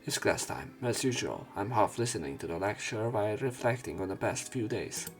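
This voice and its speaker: Male let's player, low voice, visual novel narration over background music. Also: animated, normal speed.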